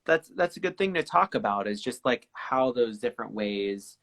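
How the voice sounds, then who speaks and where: A man hosting an art interview, relaxed and appreciative, often repeating words as he gathers his thoughts. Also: medium pitch, normal speed. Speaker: host. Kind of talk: casual interview talk.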